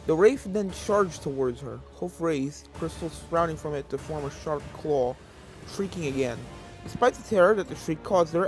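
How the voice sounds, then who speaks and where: A man with a somewhat high voice reads fan fiction aloud. The narration is scripted and dramatic, with music playing faintly behind him. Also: darkish tone.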